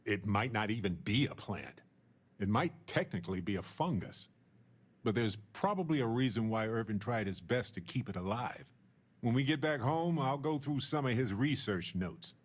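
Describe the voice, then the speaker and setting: An actor playing a witty, sentimental space explorer and scientist in a video game, with a wry, playful, musing manner. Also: male voice, medium pitch, animated, normal speed, natural voice. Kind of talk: video game dialogue acting.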